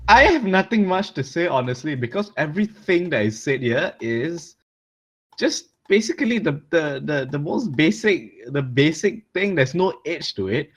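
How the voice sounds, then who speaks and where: A man's voice: a little high, big pitch swings, normal speed, a bit bright. A young man from Malaysia co-hosting a podcast, blunt and mocking in casual chat. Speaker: podcast co-host.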